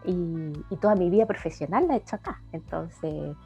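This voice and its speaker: A woman's voice: on the low side, animated, normal speed, vocal fry, mellow, breathy edge. A middle-aged woman from Chile with a Chilean accent, answering conversationally as an interview guest. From Valparaíso, Chile. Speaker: interview guest, psychology lecturer. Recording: dull lo-fi audio, music behind.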